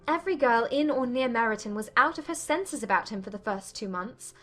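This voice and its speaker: A woman playing an earnest, thoughtful young Regency gentlewoman in a dramatized audiobook, speaking in measured, formal phrases. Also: a little high, animated, faint music behind. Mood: reflective.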